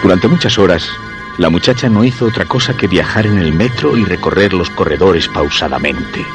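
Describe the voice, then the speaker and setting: A low-voiced shy, obsessed man from a suspense radio play, speaking with a Spain accent. He confesses in measured, literary phrases with lively swings of pitch, over clear background music. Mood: measured, brooding. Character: Guillermo, shy obsessed man secretly following a young woman. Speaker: narrator and lead actor. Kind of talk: first-person suspense radio drama narration.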